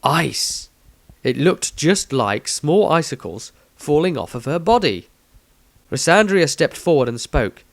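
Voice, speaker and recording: A man's voice: on the high side, sing-song, a little slow, creaky, no echo. A man reading a scripted fantasy story as its narrator. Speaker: author and narrator.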